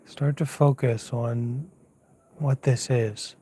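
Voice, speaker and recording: A man speaks as a meditation teacher in a dark, mellow voice with little rise or fall. He is hushed and very unhurried, leaving pauses between short phrases. The delivery is soft, slightly husky and gently instructive. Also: medium pitch, steady tone. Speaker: Buddhist monk, meditation teacher. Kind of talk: unscripted guided meditation. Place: outdoors at night, lying down under a mosquito net.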